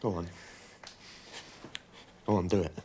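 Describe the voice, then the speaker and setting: An actor playing a steady police officer held hostage, talking the gunman down in a firm, persuasive way. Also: unhurried, noisy background. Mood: stern. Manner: firm, persuasive, low and urgent.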